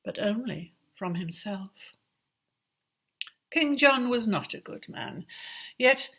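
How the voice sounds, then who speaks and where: A woman with an English accent reciting storybook verse expressively, with measured, dramatic phrasing. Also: mid-range voice. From England. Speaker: poetry reader.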